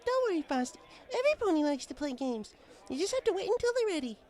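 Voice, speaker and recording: A man's voice: very high voice, very animated, fast, a little mellow, pitched above the performer's own voice. A man voicing a cheerful, cheeky young female pony who hustles in bars, playful and breezy, in an audiobook story. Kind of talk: fan fiction audiobook character voice.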